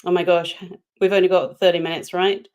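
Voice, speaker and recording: A woman's voice: on the low side, flat delivery, fairly quick, a little mellow, clear, resonant tone. A woman who grew up in the UK, a guest on a remote call, chatting casually with self-deprecating humor and frequent repeated words. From United Kingdom. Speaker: podcast guest, organizational psychologist. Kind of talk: casual interview chat.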